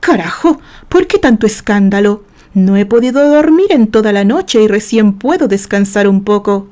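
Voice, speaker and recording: A woman's voice: mid-range voice, animated, moderate pace, darkish tone, close to an everyday voice. A woman reading the part of a defiant, bitter man locked in a jail cell. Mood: angry. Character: angry jailed red-haired man, bitter.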